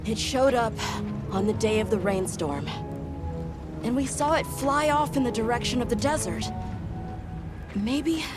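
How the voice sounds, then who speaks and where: An earnest, studious woman on an expedition in a fantasy game. Her voice sits fairly high, with loud music underneath. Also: animated, measured pace, pauses often. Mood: thoughtful.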